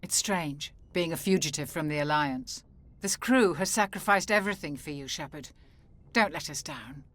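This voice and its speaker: An actress playing a serious, guarded military crew member in a video game. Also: female voice, low voice, normal speed, a bit bright, dry recording, faint music behind. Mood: solemn. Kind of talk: video game cutscene acting.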